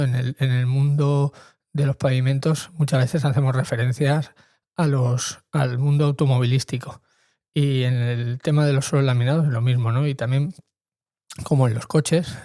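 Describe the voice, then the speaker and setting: A middle-aged man hosts a podcast in a relaxed, mellow voice of medium pitch. He thinks aloud informally, hesitating and repeating words to stress them. The sound is dry and close. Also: no room sound. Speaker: podcast host.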